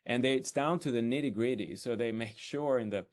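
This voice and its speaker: A man from Sweden speaking haltingly and off the cuff as a guest in a political discussion. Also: mid-range voice, darkish tone. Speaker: interview guest.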